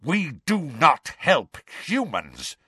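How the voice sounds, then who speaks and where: A man voicing a stern, suspicious and proud male centaur, snarling deliberately in a storybook reading. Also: lowish voice, very animated, no room sound, studio quality. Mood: cold pride.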